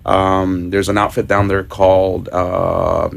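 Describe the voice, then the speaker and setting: A man from Ecuador, a guest on a radio show, telling his story in a relaxed, casual way. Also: deep voice, takes it slowly, creaky. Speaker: guest motorcyclist.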